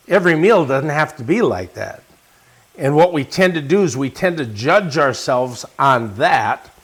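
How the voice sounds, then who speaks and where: A man from Wisconsin with an American accent, casually chatting as he hosts a cooking show in a folksy, encouraging way. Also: mid-range voice. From Wisconsin, United States. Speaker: host of a lifestyle and cooking show. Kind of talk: casual cooking demonstration and chat.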